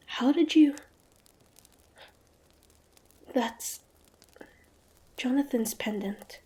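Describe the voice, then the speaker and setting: Mellow, guarded young huntress, played by an actress. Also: female voice, a little high. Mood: doubtful, shaken. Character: proud, suspicious young female hunter, grudging and mildly tsundere. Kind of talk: fantasy roleplay audio drama acting.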